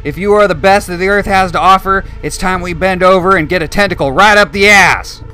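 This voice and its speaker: A man voicing a large, angry, foul-mouthed drill sergeant who shouts abuse at recruits. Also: high voice, brisk, voice pushed up. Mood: barking, abusive. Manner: shouting, profane, mocking.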